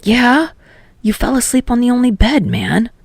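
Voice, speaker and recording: A woman voicing a friendly, easygoing, slightly sheepish teenage boy in an audiobook story, with a bright, eager, casual way of talking. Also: a little high, very animated, normal speed, vocal fry, slightly rough, no echo, pitched above the performer's own voice, full character voice.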